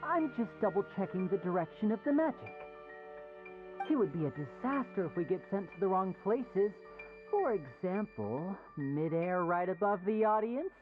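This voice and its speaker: A woman's voice: mid-range voice, sing-song, normal speed, dull audio, music in the background, lightly acted. A woman voicing a polite young male stage magician in a video game, with theatrical, courteous showman's patter. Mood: reassuring. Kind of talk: animated video game acting.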